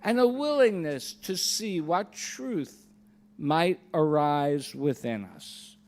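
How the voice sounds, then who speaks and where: A man giving a solemn scripted address in a gentle, deliberate manner. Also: on the high side, lively intonation.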